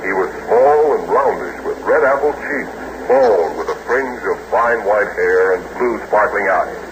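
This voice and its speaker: A man's voice: lowish voice, expressive. Man narrating old-time radio. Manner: measured, descriptive announcing. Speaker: announcer and narrator. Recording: noisy old radio broadcast.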